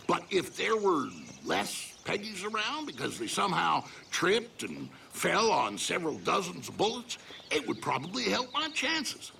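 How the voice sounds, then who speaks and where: An actor playing a shameless, scheming politician in a video game, with a crude, sly way of rambling. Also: male voice, sits high, big pitch swings, measured pace, gravelly, bright, forceful tone, slight background noise, heavily acted. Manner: folksy, crude, sly rambling with heavy hints. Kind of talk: video game cutscene acting.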